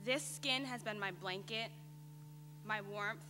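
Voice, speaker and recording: A woman in her twenties delivers a monologue on stage at a very slow pace, weighting each phrase with pauses. The recording is reverberant, with clear noise behind her. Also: medium pitch. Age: 20s. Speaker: student performer. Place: on stage in a theater. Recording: noisy, echoey theater hall.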